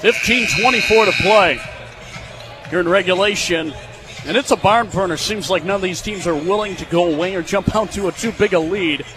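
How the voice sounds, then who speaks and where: A young American man calling live basketball on radio as play-by-play broadcaster, in clipped phrases and excitable on big plays. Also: sits high, moderate pace, music in the background. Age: young adult. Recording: clearly noisy live sports venue sound.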